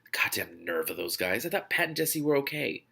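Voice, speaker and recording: A man reading a storybook puts on an indignant, loyal man, blunt and loud. The voice is gravelly and forceful, full of protective anger. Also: lowish voice, big pitch swings, fairly quick, full character voice. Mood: gruff, protective.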